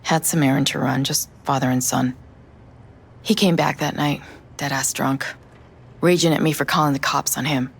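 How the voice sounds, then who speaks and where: An actress playing a worn-down, bitter widowed mother of three in a video game, her voice low and husky. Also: fairly even intonation, normal speed, long pauses, full character voice. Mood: bitter, grieving.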